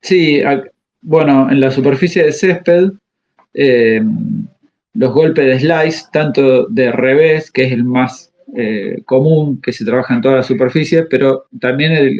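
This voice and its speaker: A man, a tennis coach answering interview questions and pausing often to find his words. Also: medium pitch, a little mellow. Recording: slightly muffled audio.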